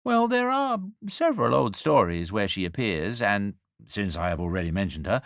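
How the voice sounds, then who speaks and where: A compassionate, knowing male angel telling a story for radio. His voice sits fairly low and moves expressively through its range, measured and wryly confiding. The sound is close and dry, as if he speaks straight to one listener. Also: no echo. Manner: measured, wry, confiding, addresses the listener directly. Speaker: narrator. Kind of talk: dramatic scripted storytelling for radio.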